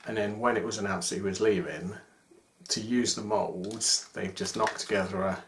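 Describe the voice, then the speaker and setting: A man's voice: fairly low pitch, steady tone, moderate pace. A man in his forties chatting casually to camera as he presents collectible figures from a room at home. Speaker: toy collector presenting a video. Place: small domestic room indoors. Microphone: camera microphone at about arm's length. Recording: echoey room with faint noise.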